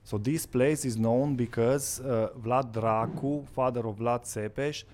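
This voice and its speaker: A man's voice: mid-range voice, steady tone, slow, a little mellow, a little hiss or hum. A man, a waiter explaining things to a visitor.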